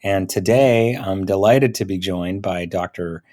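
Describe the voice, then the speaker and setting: A man's voice: low-pitched, a little slow, dark tone. A man speaking as a podcast host, conducting an interview with medical guests. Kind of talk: interview hosting.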